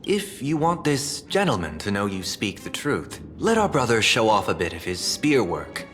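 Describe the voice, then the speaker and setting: An actor playing a composed, courteous gentleman in a video game, calm, formal and dryly practical. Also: fairly low pitch, moderate pace, faint music behind.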